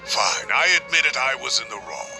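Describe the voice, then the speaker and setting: A man's voice: mid-range voice, big pitch swings, normal speed, vocal fry, over music. An actor playing a stubborn old male sage who grumbles defensively, in game voice acting. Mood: grudging. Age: older.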